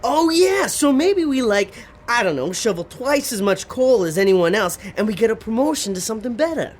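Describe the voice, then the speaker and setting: A woman's voice: a little high, sing-song, normal speed. A woman playing an eager, naive and slightly dim underling in a comedy audio drama. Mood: dreamy, eager. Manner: hesitant, blurting, naive.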